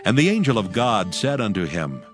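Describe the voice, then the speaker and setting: A man reads scripture as the narrator of an audio drama. His voice sits fairly low, and the delivery is formal and measured, with soft music behind. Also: big pitch swings.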